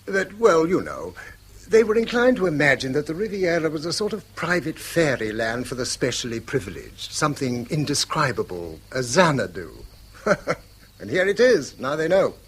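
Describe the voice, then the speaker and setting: A man's voice: fairly high pitch, big pitch swings, moderate pace, fairly bright tone, a little hiss or hum. A man playing a wry, needling, unworldly brother in a radio drama. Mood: wry, ironic. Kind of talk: British stage-style radio drama acting.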